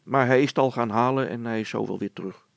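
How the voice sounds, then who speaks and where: A man voicing a practical, gruff middle-aged father in a storybook, talking colloquially with some hesitation. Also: mid-range voice, steady tone, fast, dry recording, natural voice. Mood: sheepish.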